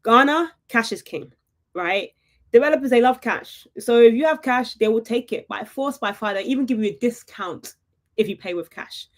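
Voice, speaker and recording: A woman hosting a live property webinar, chatty and upbeat, often checking in with her viewers with a quick "right?". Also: on the high side, animated. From United Kingdom. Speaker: property investment webinar host.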